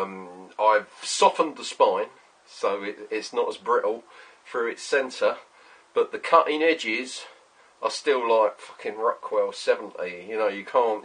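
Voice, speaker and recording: Husky middle-aged man chatting. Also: mid-range voice. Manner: animated, rambling, sweary. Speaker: vlogger, workshop owner. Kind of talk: casual chatty workshop vlog. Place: small cluttered workshop, indoors.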